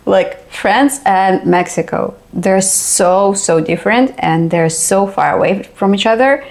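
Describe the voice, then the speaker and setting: A woman's voice: medium pitch, gravelly. Hesitant Russian woman reacting to a video. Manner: hesitant, searches for words with many pauses. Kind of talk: casual reaction commentary.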